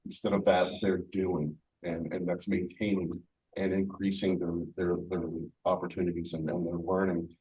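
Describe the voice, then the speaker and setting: A man with a projecting voice giving an earnest, halting prepared speech as a school leader at a community event. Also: low-pitched, level tone, dark tone. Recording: distant microphone in a room.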